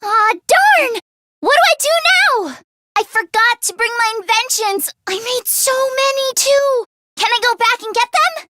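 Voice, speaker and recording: A woman voicing an excitable, earnest teenage girl inventor who gets easily flustered, in an expressive anime-style video game performance. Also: very high-pitched, measured pace, noisy background. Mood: dismayed. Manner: expressive, swinging from deadpan grumbling to frantic outbursts.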